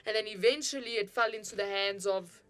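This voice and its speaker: A woman's voice: mid-range voice, fairly quick, fairly bright tone. A woman co-hosting a radio movie show, chatting casually and sharing film trivia with frequent restarts and fillers. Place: radio studio.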